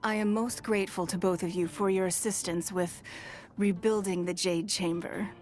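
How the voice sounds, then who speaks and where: A woman's voice: medium pitch, steady tone, a little mellow, lightly acted. Woman acting poised, shrewd businesswoman over music. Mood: gracious. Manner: formal, measured, composed, elegant. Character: poised, wealthy, shrewd young businesswoman of a fantasy realm. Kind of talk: scripted video game character voice lines. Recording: music behind the voice.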